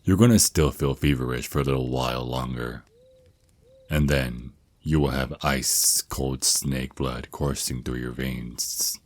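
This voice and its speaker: A man's voice: extremely low pitch, steady tone, a little slow, no room sound. A man voicing an affectionate, protective vampire naga boyfriend in an intimate ASMR roleplay, mentoring his partner with slightly formal wording and small stammers. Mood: soothing, reassuring. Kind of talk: ASMR roleplay, intimate one-sided scripted dialogue.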